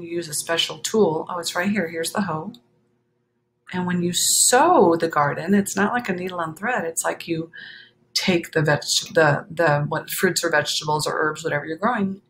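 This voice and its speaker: A woman reading a children's picture book aloud, expressive, with chatty explanatory asides to young listeners. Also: lowish voice, normal speed, slight background noise.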